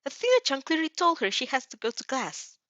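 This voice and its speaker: A woman voicing an excitable, gushing middle-aged mother who is proud and protective of her child. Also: sits high, a little fast, no echo, voice pushed up, full character voice. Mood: indignant. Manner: gushing, exclaiming.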